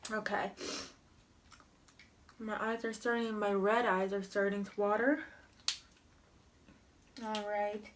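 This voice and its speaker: A young woman talking through her makeup routine in a slow, rambling way. She is sniffly from a cold. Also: mid-range voice, level tone, slight background noise. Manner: slow, rambling, filler-heavy commentary while applying makeup. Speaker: beauty vlogger. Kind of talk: makeup tutorial chat.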